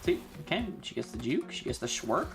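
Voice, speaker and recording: A woman's voice: a bass voice, sing-song, a little fast, gravelly, over music. A woman live-streaming a video game, chatting casually with slangy, sweary exclamations. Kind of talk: casual gaming stream commentary.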